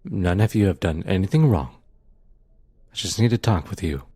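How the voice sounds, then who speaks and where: Composed police detective for audio drama, male actor: low, husky, formal, dry studio sound. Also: expressive, normal speed, dark tone, dry recording, full character voice. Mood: calm, official. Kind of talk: audio roleplay acting.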